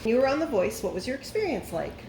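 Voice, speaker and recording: A woman reads out fan questions in a plain, deadpan tone, with an easy mid-range voice. The room echoes around her and carries a busy wash of noise. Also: a little high, quick pace. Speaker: friend reading out questions. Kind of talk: reading questions aloud. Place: brewery. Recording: roomy echo with ambient noise.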